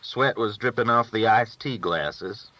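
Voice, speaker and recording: A man's voice: fairly low pitch, a little slow, pushed and bright. A man reading a novel aloud as a first-person storyteller, with folksy rural phrasing, on a dull recording. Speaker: audiobook reader.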